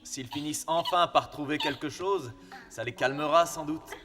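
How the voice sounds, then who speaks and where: Kindly, commanding cartoon lion king played by a man, fairly high male voice, fatherly, long reverb, music behind. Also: moderate pace, lightly acted. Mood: relaxed. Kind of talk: French cartoon dubbing.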